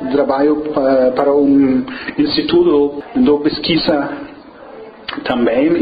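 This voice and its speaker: A young man from Germany with a German accent, a doctoral student speaking halting learner's Portuguese. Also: mid-range voice, muffled recording. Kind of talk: interview answer.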